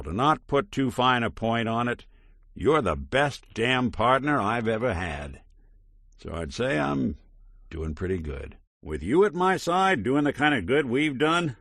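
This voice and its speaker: An actor with an American accent playing a weary, wry, kindhearted old android detective in a video game, in a folksy 1940s private eye style. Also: male voice, mid-range voice, pushed and bright, no room sound, clean studio sound, heavily acted. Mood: warm, grateful.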